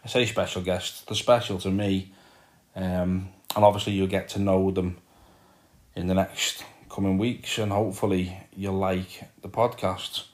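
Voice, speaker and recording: A man's voice: sits low, little pitch movement, a little slow, darkish tone. A man with a Liverpool accent hosting his own podcast, chatting casually and rambling with frequent ums and repeated words. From Liverpool, England. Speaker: podcast host. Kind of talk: casual solo podcast talk.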